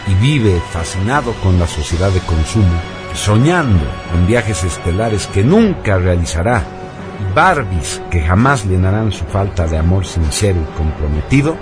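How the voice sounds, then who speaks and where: A man's voice: sits low, very animated, moderate pace. A man presenting on radio, reading a scripted narration in a declamatory, emphatic manner. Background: loud background music.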